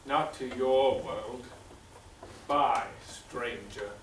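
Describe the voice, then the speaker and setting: Heard from a distance over clear noise in an echoing space, a man plays a solemn survivor in an old-time radio play. His mid-range voice is grave and measured, with a theatrical weight. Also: mid-range voice. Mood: quiet disapproval. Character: solemn male survivor narrating an alien invasion. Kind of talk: old-time radio drama narration and dialogue. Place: stage performance in a roomy theatre. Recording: echoey, noisy stage captured from afar.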